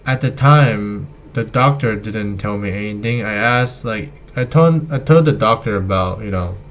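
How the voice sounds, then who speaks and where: Young male vlogger, fairly low voice, halting casual delivery, faint hiss behind. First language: not Chinese. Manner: halting, many fillers and restarts. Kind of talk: casual health update to camera.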